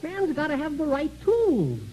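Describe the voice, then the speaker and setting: Folksy American janitor, mellow and high, rambling, humble, colloquial; hissy old radio sound. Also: male voice, huge pitch swings, a little slow, heavily acted. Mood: folksy, chatty. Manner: rambling, self-deprecating, colloquial, stammering. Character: talkative, folksy, down-on-his-luck janitor and father. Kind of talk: 1950s American radio comedy-drama acting. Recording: hissy early 1950s radio recording.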